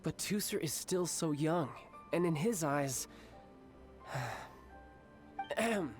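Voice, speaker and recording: Smooth young agent, formal, theatrical, lively swooping delivery, game dialogue. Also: male voice, a little high, extremely slow, faint music behind. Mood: confiding. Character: suave young nobleman agent, protective big brother. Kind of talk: English game voice acting.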